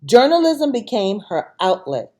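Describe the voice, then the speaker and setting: A woman hosting a read-aloud for children, reading deliberately and speaking directly to her young listeners. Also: mid-range voice, big pitch swings, a little mellow.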